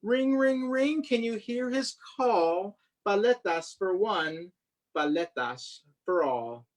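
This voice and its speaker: A picture-book author reads aloud for children at a middling pitch. The delivery is animated and expressive, turning sing-song on refrains and slipping into chatty commentary between lines. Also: slow pace.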